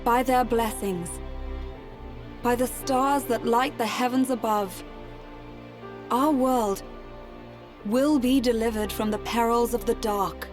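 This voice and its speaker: An actress playing a gentle, solemn young oracle, giving a formal, earnest ceremonial speech in a video game. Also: female voice, a little high, fairly even intonation, music in the background. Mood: earnest, resolute. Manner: measured, deliberate, earnest, formal diction. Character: solemn, gentle young female oracle addressing her people.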